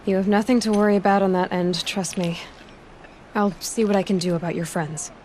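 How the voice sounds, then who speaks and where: A woman's voice: mid-range voice, steady tone, a little fast, darkish tone, a little hiss or hum, natural voice. Plain-spoken young huntress played by a woman. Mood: direct, reassuring. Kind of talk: video game dialogue acting.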